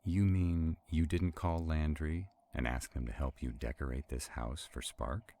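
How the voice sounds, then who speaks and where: A man's voice: extremely low pitch, fairly even intonation, measured pace, mellow. A man playing an arrogant, skeptical and protective vampire in a roleplay audio drama, haughty and commanding. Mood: irritated, haughty. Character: arrogant, skeptical, protective male vampire, irritable under strain.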